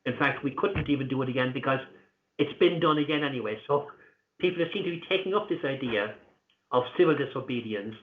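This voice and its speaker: Irish male interview guest, earnest and measured, mid pitch, echoey phone-quality line. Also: a little hiss or hum. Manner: earnest, deliberate, pausing with fillers. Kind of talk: conversational interview answers. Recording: tinny echoey call line.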